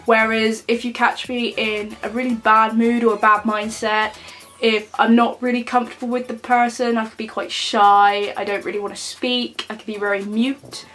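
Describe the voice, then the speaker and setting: A young woman in her twenties chats straight to camera in a relaxed vlog. Her voice is nasal and stuffy from a cold, and she talks plainly with plenty of ums and uhs. Faint music sits behind her. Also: fairly high pitch, normal speed. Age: 20s. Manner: chatty, plain-spoken, many fillers. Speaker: vlogger. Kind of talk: casual talk to camera.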